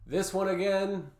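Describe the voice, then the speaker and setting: A man's voice: sits high, a little mellow. A young Canadian man hosting a reaction video, reading posts aloud and adding dry, sarcastic, exasperated remarks.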